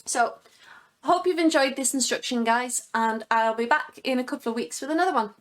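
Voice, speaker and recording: A woman giving step-by-step craft instructions in a clear, methodical way. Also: high voice, brisk.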